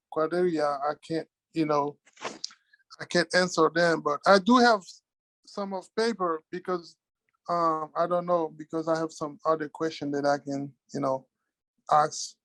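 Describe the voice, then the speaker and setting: A man's voice: on the high side, fairly bright tone, no room sound, poor audio. A man from Haiti with a Haitian accent, speaking on a video call and searching hesitantly for words as he explains his situation. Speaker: webinar participant asking a question.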